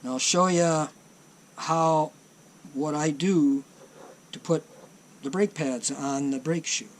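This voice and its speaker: Slow, plainspoken man demonstrating repairs. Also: fairly high pitch, slight background noise. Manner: slow, plainspoken, lots of ums and uhs. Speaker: tape deck repair technician. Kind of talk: workshop demonstration.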